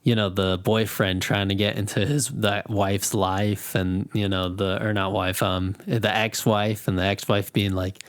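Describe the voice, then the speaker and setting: A man's voice: lowish voice, flat delivery, a little slow, few pauses, smooth. A man chatting casually as a podcast co-host in a loose, rambling, slangy way. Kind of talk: casual chat.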